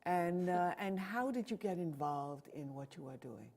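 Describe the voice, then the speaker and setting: A woman with a low-pitched voice hosts a talk show. She speaks conversationally, in deliberate and halting phrases, as an interviewer does with a guest. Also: expressive, a little mellow.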